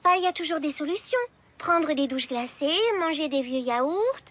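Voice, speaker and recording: A woman's voice: sits high, moderate pace, a bit bright. A woman voicing a young girl who is eager and scheming, in a cartoon.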